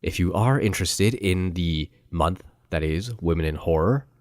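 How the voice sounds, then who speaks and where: A man hosting a podcast, chatting in a relaxed, upbeat way with his guest and wrapping up the show. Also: deep voice, moderate pace, dry recording, clean studio sound. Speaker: podcast host. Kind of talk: casual interview and outro.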